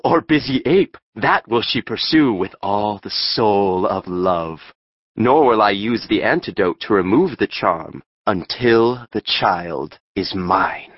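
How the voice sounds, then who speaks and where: A man playing a proud, scheming fairy king with stately, imperious, deliberate Shakespearean delivery. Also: on the low side, no room sound, lo-fi sound. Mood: scheming, commanding. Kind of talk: Shakespearean audiobook acting.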